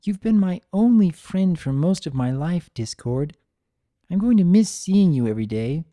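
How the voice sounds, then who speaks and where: A man voicing an affectionate, earnest female pony caretaker, gentle and modest, in a dramatic story reading. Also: high voice, animated, normal speed, dry recording, clean studio sound, pitched above the performer's own voice. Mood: sad, fond. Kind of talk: character dialogue in a dramatic reading.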